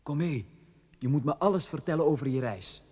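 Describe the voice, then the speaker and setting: A man's voice: on the high side, expressive, fairly quick, darkish tone, breathy edge. A Flemish man acting in a radio drama as a formal, restrained, dignified middle-aged lord and father. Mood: subdued, courteous. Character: dignified, protective lord, father of a grown son. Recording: long hall reverb.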